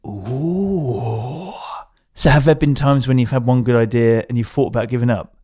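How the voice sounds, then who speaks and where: A man hosting a casual interview, chatty and playful, with quick asides. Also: medium pitch, warm tone, husky. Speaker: podcast host.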